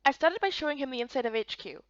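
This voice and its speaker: A woman's voice: high voice, lively intonation, fast, no room sound, muffled recording. A woman reading scripted first-person story narration for a visual novel game.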